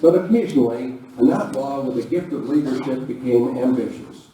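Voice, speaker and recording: A man's voice: medium pitch, a little fast, mellow. A man hosting and narrating a live Western radio play in front of an audience. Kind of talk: stage hosting and dramatic scripted narration. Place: live performance in a village venue. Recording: very echoey room, distant mic, noisy.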